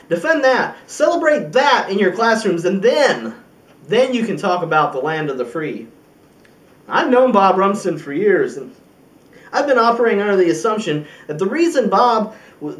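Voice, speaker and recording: A man acting an indignant, resolute president giving an emphatic political speech in an amateur film monologue, with deliberate pauses. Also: high voice. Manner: emphatic oratory with deliberate pauses and rhetorical questions. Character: indignant, resolute male American president addressing the press. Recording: roomy echo, distant microphone.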